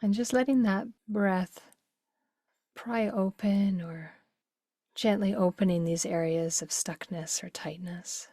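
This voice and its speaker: A woman leading a guided meditation in a gentle, unhurried, soothing way, with phrases that soften into breathiness. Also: mid-range voice, fairly even intonation, no room sound.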